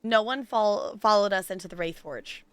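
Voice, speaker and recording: A woman's voice: mid-range voice, normal speed, clear, resonant tone, no echo. A woman game streamer giving chatty, casual playthrough commentary and reading dialogue replies aloud.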